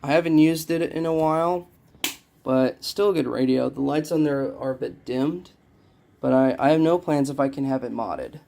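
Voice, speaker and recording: A man's voice: medium pitch, moderate pace, vocal fry, warm tone. A young man giving a casual show-and-tell of his collection, rambling and correcting himself as he goes. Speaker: radio collector showing a collection. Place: small cluttered room indoors.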